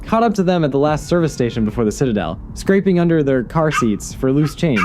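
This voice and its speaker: A man's voice: fairly high pitch, big pitch swings, fairly quick. An actor playing a smug, ruthless male villain in an audio drama, sneering with mock politeness and wordy taunts. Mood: contemptuous, ruthless. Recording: clear background noise behind.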